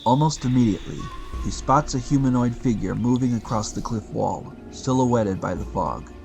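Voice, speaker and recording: A man's voice: mid-range voice, expressive, normal speed, vocal fry. A man narrating a scripted adventure audio drama as its storyteller. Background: loud music.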